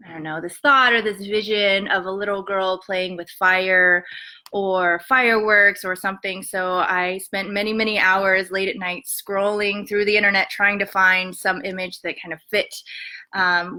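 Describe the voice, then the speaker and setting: A woman poet reads her verse in a measured, quiet way and then talks about her book in a soft, halting voice full of ums. Her voice sits at an ordinary middle pitch. It sounds picked up by a webcam microphone at home. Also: little pitch movement. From Montana and western Colorado, USA. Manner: soft-spoken, many ums, measured reading. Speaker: poet reading her work. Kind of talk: poetry reading with spoken introductions.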